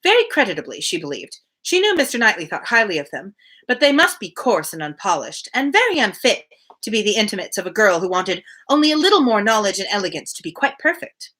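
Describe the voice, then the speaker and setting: A woman reading a novel aloud on a livestream in an expressive storytelling way, with casual asides. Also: mid-range voice, quick pace. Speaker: reader hosting a daily livestream.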